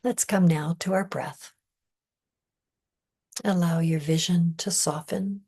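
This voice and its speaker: Woman, meditation guide, very breathy and soft, slow measured delivery, lowish pitch, calm scripted narration. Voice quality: breathy, soft, often near whisper.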